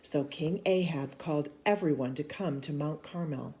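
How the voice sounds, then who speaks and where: A woman reading a children's storybook aloud in an expressive, animated way, dropping to hushed asides. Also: low voice, normal speed, slight background noise. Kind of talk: read-aloud of a children's book.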